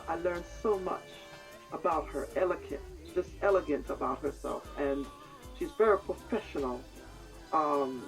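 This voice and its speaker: A woman with a British-tinged Jamaican accent, chatting as an interview guest in a studio, soft-spoken and halting, with many fillers and easy laughter. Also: on the low side, poor audio. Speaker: reggae singer, guest. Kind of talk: casual interview chat. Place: music studio.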